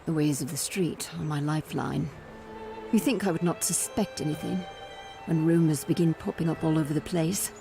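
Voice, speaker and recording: A woman's voice: low voice, moderate pace, gravelly. An actress playing a proud, bitter, street-wise rebel woman in a fantasy game, cutting and commanding in her delivery. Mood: scornful. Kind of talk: dramatic fantasy game voice acting. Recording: music behind the voice.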